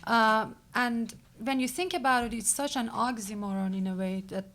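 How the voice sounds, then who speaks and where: A woman's voice: fairly high pitch, moderate pace, fairly bright tone. A woman with a Mashhadi Persian accent, moderating a panel and asking long, engaged questions. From Iran. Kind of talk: panel discussion.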